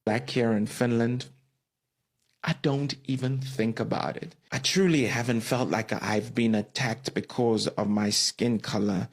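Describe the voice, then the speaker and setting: A man calmly sharing his own experience of living abroad. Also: medium pitch, a little slow. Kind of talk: recorded personal testimony.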